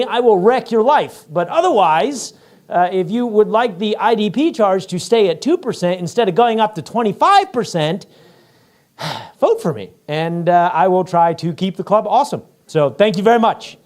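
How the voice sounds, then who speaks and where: A man with a high, loud voice makes his pitch as a candidate. He speaks informally, with blunt and irreverent humor. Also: expressive, normal speed. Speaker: candidate giving a speech. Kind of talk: informal campaign speech.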